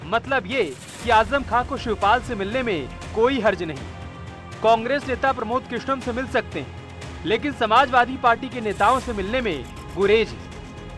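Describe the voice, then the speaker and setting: A man's voice: very high voice, moderate pace, a bit bright, faint music behind. A man reading a scripted news report voice-over in a dramatic, rhetorical way.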